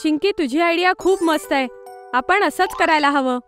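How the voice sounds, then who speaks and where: A woman's voice: very high voice, normal speed, a bit bright. A woman voicing a caring, confident older schoolgirl with a big-sister manner in a children's cartoon story. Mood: encouraging. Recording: music behind the voice.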